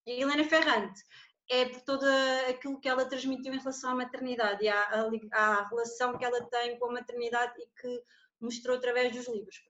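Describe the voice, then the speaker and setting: A woman's voice: on the high side, fairly even intonation, fast, fairly bright tone, slight background noise. A woman with a European Portuguese accent, chatting casually about books as a podcast co-host. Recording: roomy, dull muffled sound.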